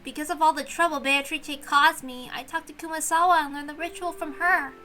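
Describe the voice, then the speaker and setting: Woman as a young maid, very high bright voice, earnest, stubborn, visual novel character, music behind. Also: steady tone, brisk, a little hiss or hum. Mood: grudging. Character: earnest young servant girl, plucky and stubborn. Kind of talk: expressive visual novel read-aloud.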